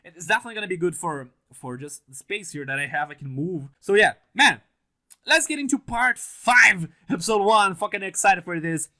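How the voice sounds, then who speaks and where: Excitable young man, bright tense voice. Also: sits high, normal speed. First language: Portuguese. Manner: excitable, swears often, laughs a lot, restarts sentences. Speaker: anime reaction channel host. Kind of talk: casual reaction commentary to camera. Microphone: mic on a stand, set a bit farther than usual.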